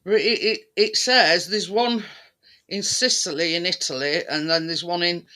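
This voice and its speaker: Bloke, really sharp bright voice, bit high, rambly casual chat, keeps stalling for words. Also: male voice, slight background noise. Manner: rambling, halting, searching for words.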